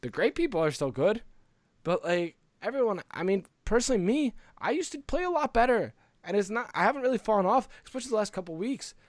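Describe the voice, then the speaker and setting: A man's voice: sits high, sing-song, fast talker, no room sound. A man co-hosting a casual gaming podcast, talking in a conversational, opinionated way as he explains his views. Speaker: podcast co-host.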